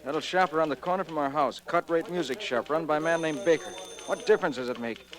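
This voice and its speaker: A man's voice: on the high side, fast talker, a crowd in the background, lightly acted. A man playing a curt, agitated widowed newspaperman in an old radio drama. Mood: agitated, impatient. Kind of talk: mid-century American radio drama acting. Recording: old radio recording, background sounds.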